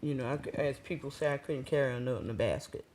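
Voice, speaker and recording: A woman with a deep voice, a guest singer chatting easily in an interview, with frequent pauses and "um"s. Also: a little hiss or hum. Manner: relaxed, chatty, says "um" often. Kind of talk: casual interview chat.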